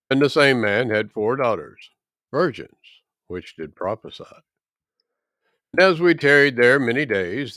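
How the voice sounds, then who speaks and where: A man reading scripture aloud in a solemn, measured and emphatic way. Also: on the low side, a bit bright, no echo, studio quality. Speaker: scripture reader.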